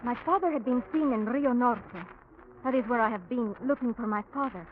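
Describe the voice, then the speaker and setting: An actress playing a sweet, shy young waitress with a Spanish accent and a hesitant, earnest manner, in old radio drama style. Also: female voice, fairly high pitch, a little fast, natural voice. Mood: sad, hesitant. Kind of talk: 1940s radio drama acting. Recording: tinny, hissy old radio recording.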